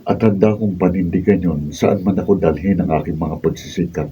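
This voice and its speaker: A Filipino man acting as an earnest, steadfast young husband and father in a radio drama, speaking in solemn, measured declarations. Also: sits low, steady tone, mellow, husky. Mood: firm, earnest. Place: recording studio, behind-the-scenes camera recording. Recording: roomy distant mic, faint background noise.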